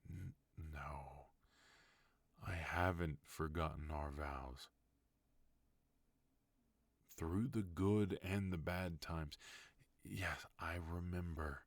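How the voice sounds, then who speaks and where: Casting: a man as a stern but tender mafia boss husband, very deep voice, dry close mic. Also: steady tone, slow pace, vocal fry, no echo, full character voice. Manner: low, firm, soft-spoken pleading. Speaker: voice actor. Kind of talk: ASMR roleplay.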